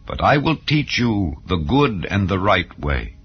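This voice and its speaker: A man's voice: low voice, very animated, a little slow, faint music behind. A man voicing a stern, solemn prophet who exhorts his people in a declamatory scripture reading. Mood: solemn exhortation. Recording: dull, lo-fi audio.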